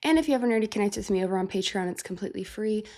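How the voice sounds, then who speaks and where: A woman's voice: mid-range voice, expressive, very fast, darkish tone. A woman hosting a music reaction channel, sick and congested with a nasal voice, chatting casually and thinking aloud with fillers. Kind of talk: casual reaction chat to camera.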